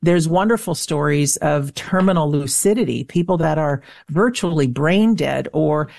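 A woman's voice: sits low, normal speed, vocal fry, darkish tone, no echo, studio quality. A middle-aged woman speaking earnestly and emphatically as a guest in a conversational radio interview, telling personal stories at length. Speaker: interview guest, afterlife show host and author.

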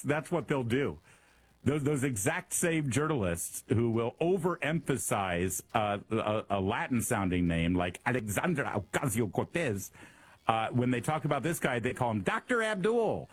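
Sarcastic middle-aged Midwestern talk host. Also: male voice, mid-range voice, husky. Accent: Midwestern American. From American Midwest. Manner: sarcastic, mocking, animated. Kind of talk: opinionated political commentary.

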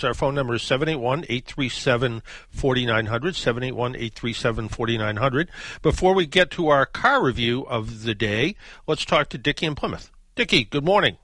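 A man's voice: medium pitch, expressive, brisk, bright, forceful tone, dry recording. A man hosting a call-in radio show about cars, talking in a loose conversational way with fillers and restarts. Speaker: radio car show host. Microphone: studio microphone.

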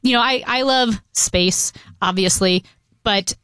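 A woman co-hosts a talk radio show in a casual, conversational style. Her voice sits at a middling pitch. It is recorded close and dry on a studio microphone. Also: slow pace, sharp tone, clean tone, no echo. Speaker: radio co-host. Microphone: close studio broadcast microphone.

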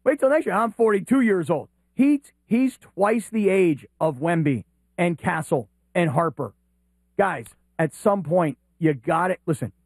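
A man's voice: sits high, darkish tone, dry recording. A man co-hosting a sports talk show in a wry, teasing way. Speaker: sports talk radio co-host.

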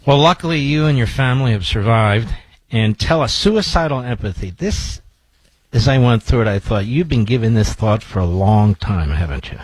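An older man hosting a talk radio show and interviewing a guest with an emphatic, animated delivery. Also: medium pitch, normal speed, husky, no room sound, rough recording.

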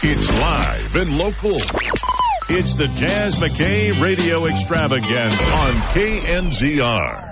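A man's voice: a little high, animated, takes it slowly, over loud music. A man announcing a radio station ID in a punchy, showy way.

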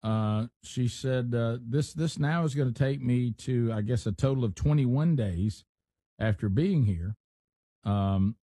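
Mellow-voiced male talk radio host. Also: mid-range voice, dry recording, studio quality. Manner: reads news aloud with asides. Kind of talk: casual talk radio banter.

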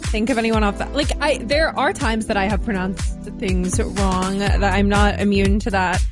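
A woman's voice: mid-range voice, fairly even intonation, normal speed, over music. A woman with a Minnesota accent co-hosting a radio show, chatting casually and agreeing along. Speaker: radio co-host.

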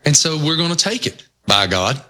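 Bright-voiced Southwest Virginia host. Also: male voice, on the high side, normal speed. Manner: storytelling, folksy and animated. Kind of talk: casual sports talk.

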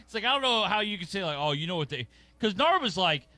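A man's voice: high-pitched, very animated, pushed and bright, no room sound. A man co-hosting a radio talk show, teasing and laughing through casual banter. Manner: laughing, teasing, quick retorts. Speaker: co-host.

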